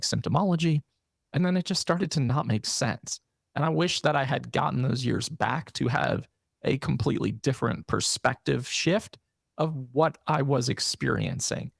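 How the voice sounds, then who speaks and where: Earnest podcast host, man with vocal fry. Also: medium pitch, no room sound, clean studio sound. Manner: earnest, personal, says "right?" often. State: briefly losing his voice. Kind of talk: casual interview conversation.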